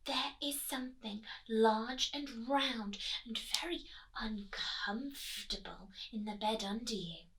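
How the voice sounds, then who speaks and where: A woman's voice: fairly high pitch, slow, fairly bright tone, far from the mic, low-quality recording. Woman whispering as a tiny earnest storybook pea. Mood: urgent whispering. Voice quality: breathy whisper. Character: small earnest pea, secretly helping, whispering urgently.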